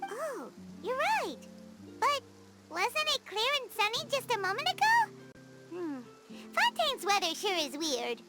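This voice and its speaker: A tiny fairy sidekick from an anime game. The voice is extremely high and childlike, leaping all over in pitch, with eager, bubbly exclamations. Loud music plays behind it. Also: female voice, wildly swooping pitch, measured pace, exaggerated voice. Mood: curious. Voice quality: squeaky, childlike. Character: small cheerful childlike fairy companion, curious and eager. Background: background music.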